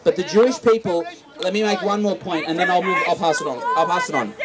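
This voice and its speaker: A man's voice: sits high, quick pace. A man with an Australian accent in a heated but conciliatory street debate, insistent and often restarting his sentences. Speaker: debater at a protest. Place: outdoors among a crowd. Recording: noisy crowd outdoors.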